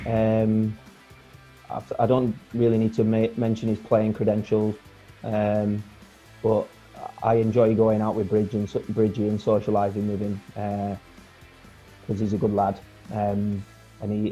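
A man in his forties chatting casually as a guest on a video call, relaxed and rambling, with plenty of fillers and restarts. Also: lowish voice, measured pace. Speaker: guest, former footballer. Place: home room, indoors. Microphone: earphone mic on a video call. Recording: dull lo-fi video call audio with faint music.

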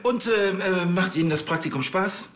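A man's voice: high-pitched, moderate pace, noisy background, lightly acted. Man playing a flustered, pompous police chief. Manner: hesitant, pompous. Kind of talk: TV crime comedy acting.

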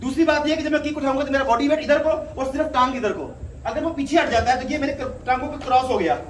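A man's voice: very high-pitched, quick pace. A man teaching a karate class in a commanding way, explaining, questioning and calling out drills. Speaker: karate instructor. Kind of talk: live class instruction with drill counts and commands. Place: open concrete courtyard dojo at night. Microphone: distant camera microphone. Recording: echoey, distant, noisy courtyard ambience.

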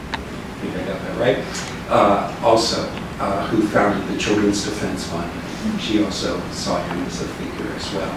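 An older man, a minister, gives an informal reflective talk to a small group in a living room, explaining things in a halting way. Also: fairly low pitch, far from the mic. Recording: echoey room from afar, clear noise.

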